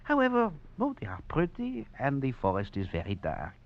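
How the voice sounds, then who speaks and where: A man's voice: mid-range voice, huge pitch swings, moderate pace. An actor playing a wry, cunning male escaped prisoner who narrates his tale with chuckles and theatrical flair, in a 1940s radio drama. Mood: wry, chuckling. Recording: dull old radio recording, faint music.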